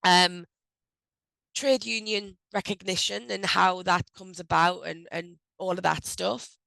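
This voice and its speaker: A woman hosting an online HR briefing on a video call, speaking loosely with frequent ums and self-corrections, her voice croaky as she recovers from a cold. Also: on the low side, fairly even intonation, unhurried, sharp tone, no room sound, rough recording. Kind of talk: informal presentation on a video call. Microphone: video call microphone.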